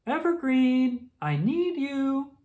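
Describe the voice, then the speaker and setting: Woman as a gentle mother squirrel, unhurried. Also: high-pitched, very animated, pitched above the performer's own voice, full character voice. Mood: calling. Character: caring, firm mother squirrel.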